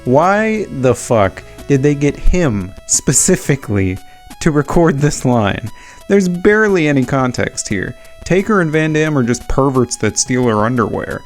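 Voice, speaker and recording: A man's voice: medium pitch, big pitch swings, measured pace, faint music behind. A man giving casual, sweary, opinionated fan commentary as the narrator of a retrospective video.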